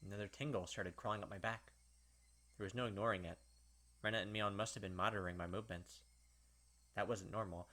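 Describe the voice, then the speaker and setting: A man's voice: on the low side, fairly even intonation, a little fast, long pauses, sharp tone. A man hosting a let's play, reading visual novel narration aloud and adding casual commentary. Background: game music.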